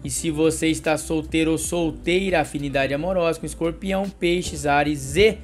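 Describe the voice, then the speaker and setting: A Brazilian man in his thirties reading a daily horoscope and pitching readings, with an affectionate, coaxing manner. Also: fairly even intonation, normal speed, faint music behind. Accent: Brazilian Portuguese. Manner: affectionate, calls listener "meu ser de luz". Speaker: astrologer.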